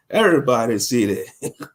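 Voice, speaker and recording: A man's voice: mid-range voice, slight background noise. Male livestream commentator; loose, slangy, preachy ramble while reading the chat. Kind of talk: casual livestream talk answering chat.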